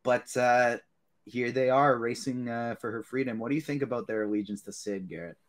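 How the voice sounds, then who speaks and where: A man's voice: medium pitch, steady tone, fairly quick. A man hosting a casual fan podcast in a conversational style. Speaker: podcast host.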